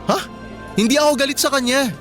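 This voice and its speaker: A man's voice: extremely high pitch, quick pace, fairly bright tone. A man acting an earnest, disapproving young boyfriend in a radio drama, with a Filipino accent and an insistent, emotional delivery. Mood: defensive. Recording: music bed behind the voice.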